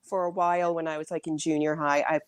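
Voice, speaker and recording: A woman co-host from New England, chatting in a playful, animated way. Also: sits low, quick pace, smooth, dry recording. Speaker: podcast co-host. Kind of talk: casual chat.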